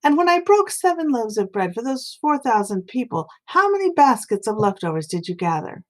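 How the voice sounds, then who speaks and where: A woman's voice: on the high side, sing-song, normal speed, voice pushed up. Woman voicing an exasperated, stern male teacher. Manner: emphatic, probing rhetorical questions. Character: Jesus as exasperated, stern male teacher questioning his followers.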